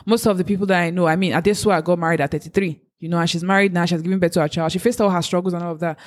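A woman's voice: lowish voice, steady tone, rapid-fire. A woman from Africa in a casual chat, giving earnest, faith-driven encouragement. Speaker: co-host giving advice.

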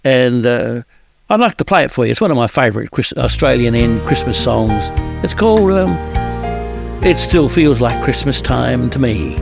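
A man's voice: mid-range voice, animated, gravelly. A man hosting a radio music countdown, chatting casually with lots of fillers and restarts. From New Zealand. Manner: rambling, halting with many fillers and restarts.

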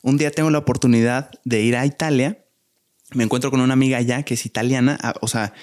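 A man leads a casual interview. He often breaks in with exclamations of wonder and easygoing asides. His voice sits at an ordinary middle pitch. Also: steady tone, fast, darkish tone. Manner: easily amazed, many "guau" and "qué fregón". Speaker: podcast host.

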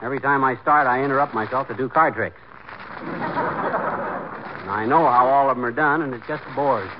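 An actor playing a grumbling, restless middle-aged husband in a folksy, blustering way in a 1940s radio comedy. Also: male voice, medium pitch, a little fast, close to an everyday voice. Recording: muffled, very noisy 1940s radio recording.

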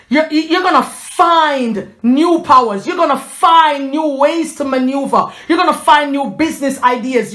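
This is a woman in her forties speaking to her viewers about faith, fervent and preachy yet chatty. Her voice sits high, and she takes her time with each thought, often circling back and restarting her sentences. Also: a bit bright. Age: 40s. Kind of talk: inspirational faith talk to viewers.

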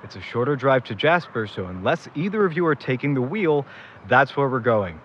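An actor playing a sardonic, grudgingly caring big brother in a naturalistic audio drama, talking in a casual, dry way. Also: male voice, mid-range voice, sing-song, a little fast, dull audio, background noise, natural voice. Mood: irritated, teasing.

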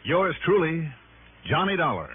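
A man acting a level-headed, wry American insurance investigator in an early 1960s radio detective drama, crisp and understated. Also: a little high, very animated, unhurried, a little hiss or hum. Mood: brisk, businesslike. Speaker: lead actor and first-person narrator. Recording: muffled old radio recording, loud music.

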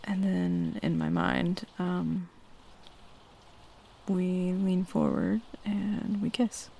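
A woman playing a shy, smitten young tomboy roommate in an intimate ASMR roleplay, halting and flustered as she talks. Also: lowish voice, sing-song, creaky, warm tone, full character voice. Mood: tender, hesitant. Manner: halting, hesitant, flustered with nervous chuckles. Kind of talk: ASMR roleplay, intimate one-sided dialogue. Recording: faint rain noise behind close voice. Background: rain falling.

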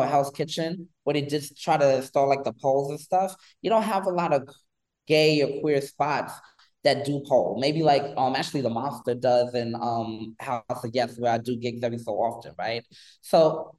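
A man in his thirties from New York, a guest on an interview show, talking in an animated, rambling way with lots of fillers. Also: on the high side, rough recording. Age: 30s. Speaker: guest, pole dance instructor. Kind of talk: casual interview chat.

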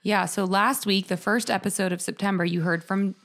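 A woman co-hosting a podcast, chatting in a bubbly, relaxed way with many fillers. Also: medium pitch, fairly quick. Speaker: podcast co-host. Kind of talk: casual chat.

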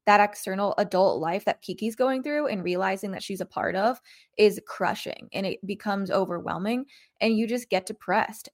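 A woman in her twenties co-hosting a podcast, speaking earnestly and openly in casual conversation. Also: medium pitch. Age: 20s. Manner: earnest, open about feelings. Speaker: podcast co-host.

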